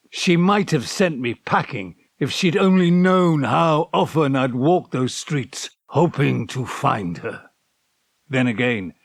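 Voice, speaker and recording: A man's voice: mid-range voice, no room sound, clean studio sound. An actor in an audio drama playing a wistful, bookish man who recalls his past loves in articulate, formal, slightly hesitant phrases. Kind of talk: audio drama acting, dialogue and reflective monologue.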